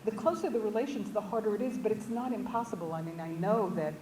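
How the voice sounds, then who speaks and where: A woman guest speaker thinking aloud in an informal academic discussion, halting and hedging as she repeats words. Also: mid-range voice. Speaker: visiting guest speaker answering audience questions. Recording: echoey room, distant mic, clear background noise.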